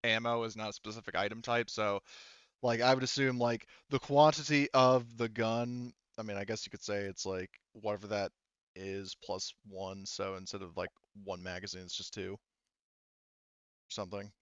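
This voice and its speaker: Dry close sound, man, game master, casual sweary talk, clear smooth voice. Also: lowish voice, steady tone, moderate pace, fairly bright tone, dry recording, highs cut off.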